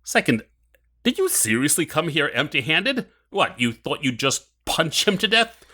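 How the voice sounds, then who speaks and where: Cold audio drama villain: formal, measured, mocking, with widely swinging intonation. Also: male voice, a little high, very animated, pushed and bright, full character voice. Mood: cold menace. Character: menacing, cold, mocking villain, adult man.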